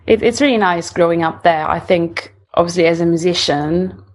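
A woman's voice: on the low side, normal speed. A woman in her twenties from Italy with an Italian accent, answering casual interview questions somewhat hesitantly. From northern Italy. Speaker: singer-songwriter being interviewed.

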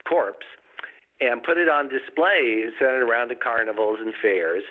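A man's voice: medium pitch, normal speed, phone-quality sound. Chuckling male guest telling tales. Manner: chuckles while telling, dry humor. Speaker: guest author. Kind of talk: storytelling in a casual chat.

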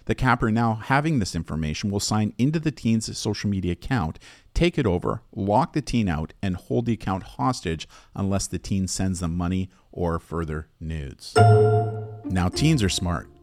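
Man, deep voice, podcast host, scripted educational narration, explanatory, highly varied intonation. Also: animated, normal speed, darkish tone, dry recording, clean studio sound, soft background music.

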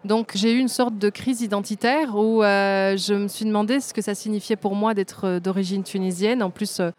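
A woman's voice: medium pitch, fairly even intonation, normal speed. A woman, telling her story as a guest at a trade fair, enthusiastic and often hesitating. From Belgium. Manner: warm, enthusiastic, frequent hesitations. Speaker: designer and guest. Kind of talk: conversational interview.

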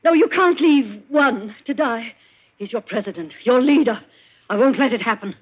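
A fierce, loyal secret-society leader with a high, husky voice who demands answers. She sounds like old 1940s radio drama on a dull, lo-fi vintage recording. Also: lively intonation, moderate pace. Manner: demanding, intense.

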